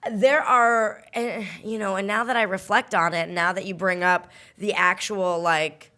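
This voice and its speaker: A woman's voice: medium pitch, steady tone, unhurried, pushed and bright. A woman in her thirties co-hosting a casual podcast, thinking aloud in a reflective way with restarts. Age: 30s. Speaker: podcast co-host.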